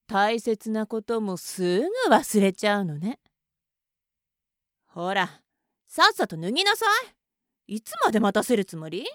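A woman's voice: a little high, no room sound, clean studio sound. A woman acting a contemptuous, domineering young woman in an adult audio roleplay, mocking and bossy.

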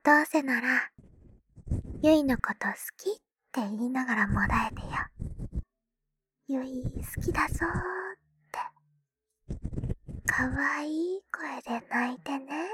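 A woman voicing a teasing, doting schoolgirl little sister in a whispered ASMR roleplay, breathy and playful. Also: sits high, slight background noise. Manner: teasing, playful, slow and soft. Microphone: close microphone.

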